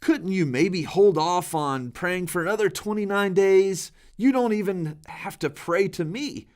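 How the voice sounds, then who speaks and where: Proud Persian monarch played by a male reader, commanding yet vain, measured pace, storybook style. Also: high voice, a little mellow, pitched above the performer's own voice. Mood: pleading. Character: proud, regretful Persian king, commanding and easily flattered.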